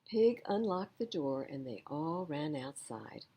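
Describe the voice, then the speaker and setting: A woman reading a picture book aloud to children as an expressive storyteller. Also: low-pitched, slow pace, a little hiss or hum.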